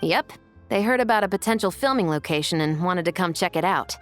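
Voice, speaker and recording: A woman voicing a terse, low-voiced officer. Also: lively intonation, a little fast, soft background music, natural voice. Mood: composed. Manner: terse, level, composed. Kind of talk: video game voice acting.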